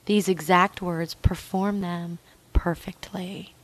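Slow, whispery woman giving commands. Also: on the low side, steady tone, poor audio. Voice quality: breathy, often dropping to a whisper. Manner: slow, drawn-out, dominant and coaxing. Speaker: erotic hypnosis performer. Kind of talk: intimate erotic hypnosis audio, commanding and repetitive.

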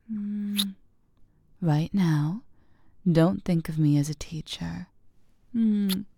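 A woman speaking in a soft, coaxing whisper, close to the microphone, with slow, drawn-out phrases and murmured hums. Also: medium pitch, gravelly, husky, no room sound. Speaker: audio roleplay performer. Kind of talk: whispered intimate audio roleplay.

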